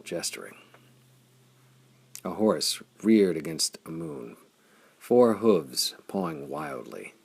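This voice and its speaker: A man with a gravelly, husky voice narrating pulp adventure fiction in a dramatic, intense storytelling manner. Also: sits low. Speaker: audiobook narrator.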